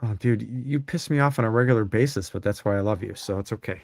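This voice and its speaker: Relaxed rambling podcast co-host. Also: male voice, lowish voice, fast talker, gravelly, dry recording, clean studio sound. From Chicago suburbs. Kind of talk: casual chat about toy news.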